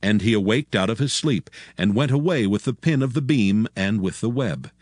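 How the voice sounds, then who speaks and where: A man's voice: on the low side, lively intonation, normal speed, darkish tone, dry recording. A man narrating scripted scripture as the storyteller of a dramatized Bible audio production.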